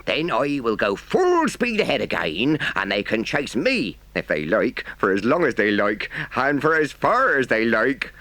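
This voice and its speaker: A man acts out a storybook engine driver, a working man who is stern yet soft-hearted. His talk is plain, folksy and emphatic, with pitch that swings widely. It sounds close and dry. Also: big pitch swings, unhurried, few pauses, dry recording, heavily acted. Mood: urgent. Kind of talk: storybook character voice.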